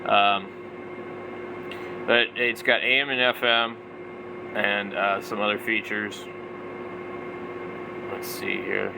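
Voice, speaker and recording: A man's voice: on the low side, animated, slow, gravelly, long pauses, very noisy. A man in his forties giving casual, off-the-cuff product commentary, rambling and thinking aloud. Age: 40s. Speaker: vlogger, radio hobbyist.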